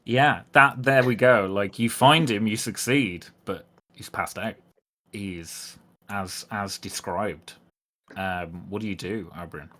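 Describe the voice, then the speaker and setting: A man's voice: lowish voice, measured pace, a bit bright. A man acting as game master in a tabletop roleplay game, chatting casually and with amusement.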